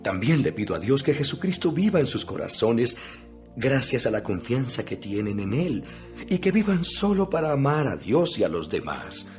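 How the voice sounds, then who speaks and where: A man's voice: mid-range voice, very animated, normal speed, a little mellow, lightly acted. A man playing an imprisoned apostle in a dramatized scripture reading, speaking solemnly and earnestly with emphasis. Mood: prayerful, earnest. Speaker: Bible narrator. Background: music.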